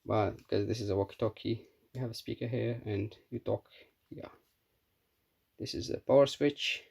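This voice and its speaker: Husky, creaky-voiced man reviewing casually. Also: lowish voice, a little mellow. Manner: halting, unscripted, matter-of-fact. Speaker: product reviewer. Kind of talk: casual hands-on review talk.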